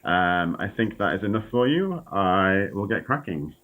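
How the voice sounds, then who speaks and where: Man author, lowish voice, froggy from a cold, casual chat, phone-quality audio. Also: sing-song, moderate pace. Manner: casual, self-deprecating, many ums. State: has a cold, slightly froggy. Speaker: author reading own work. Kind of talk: chatty introduction to a reading. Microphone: video call. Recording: tinny phone-like line.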